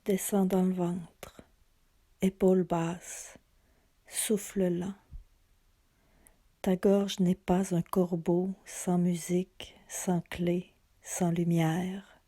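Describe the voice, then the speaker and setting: A woman reading a poem in a soft, soothing half-whisper. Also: lowish voice, little pitch movement, drawn-out words, long pauses, dry recording. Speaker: poet. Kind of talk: poetry reading.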